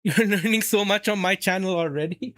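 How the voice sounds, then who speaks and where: A man's voice: high voice, fairly quick, fairly bright tone, dry recording. A man from Saudi Arabia casually chatting on a live stream, rambling and answering chat as he plays.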